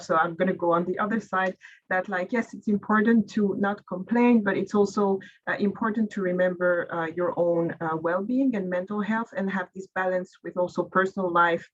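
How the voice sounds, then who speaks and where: A woman's voice: medium pitch, steady tone, normal speed, highs cut off. A woman panelist in a webinar discussion giving supportive advice, with many fillers.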